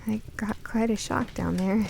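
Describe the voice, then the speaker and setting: A woman playing a curious, earnest young woman who narrates her own spooky radio drama in a measured, storytelling way. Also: mid-range voice, vocal fry, a little mellow, slight background noise. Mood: measured, somber. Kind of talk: first-person scripted radio drama narration.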